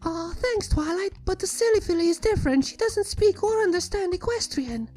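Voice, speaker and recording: A very high, swooping voice for a bubbly young mare acted out by a woman. She is playful and breezy, like a silly cartoon pony, with a soft-edged mellow tone over background music. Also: animated, normal speed. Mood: cheerful. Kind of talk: fan fiction character reading.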